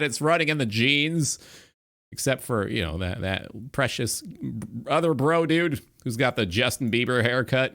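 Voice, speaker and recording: Bright, animated male streamer hosting casually. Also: medium pitch. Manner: rambling, crude joking. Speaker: talk show host and streamer. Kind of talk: casual reaction commentary.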